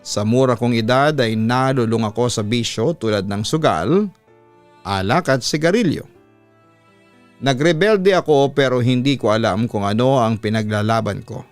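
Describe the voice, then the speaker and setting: Dramatic male radio storyteller. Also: normal speed. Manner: theatrical storytelling with sudden pitch lifts. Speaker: radio announcer and host. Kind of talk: dramatic reading of a listener's horror letter. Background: faint background music.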